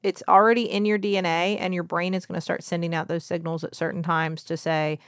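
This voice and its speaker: A woman's voice: lowish voice, level tone, a little fast, slight background noise. A woman doctor and podcast host explaining medical questions to a child in patient, simple, reassuring words.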